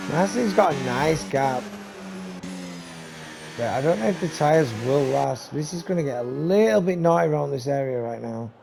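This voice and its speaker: Commentator: gravelly, fairly high-voiced man calling a sim race, voice fading, car engines loud underneath. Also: moderate pace. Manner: excitable, talks to viewers, rising to high bursts on action. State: losing his voice. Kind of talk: live sim racing commentary. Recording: noisy stream with engine sound. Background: race car engine noise.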